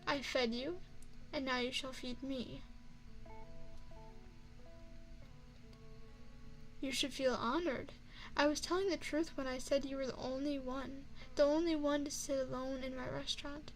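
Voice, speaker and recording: A high, feminine voice belongs to a courtly vampire chef acted by a woman in an ASMR roleplay. She speaks with formal, refined poise and a teasing, seductive, quietly predatory air. The voice sits close to the microphone, with faint music underneath. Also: steady tone, moderate pace, rough recording. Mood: smug, seductive. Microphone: close binaural microphone.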